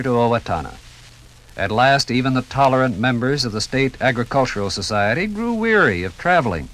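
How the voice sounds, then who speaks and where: A man's voice: mid-range voice, fairly bright tone, rough recording. A man giving theatrical, rhetorical scripted narration as the narrator of a 1950s radio documentary.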